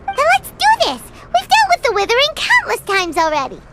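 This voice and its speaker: A woman voicing a tiny, excitable floating girl companion in a game, high and bouncy. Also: a little fast, very noisy, exaggerated voice. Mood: excited. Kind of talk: game cutscene voice acting.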